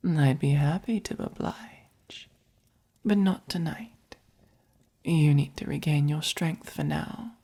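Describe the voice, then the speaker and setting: A woman with an English accent playing a doting, possessive vampire in a soft-spoken, breathy, whispered ASMR roleplay, gently dominant and coaxing. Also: lowish voice, full character voice. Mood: coaxing, tender.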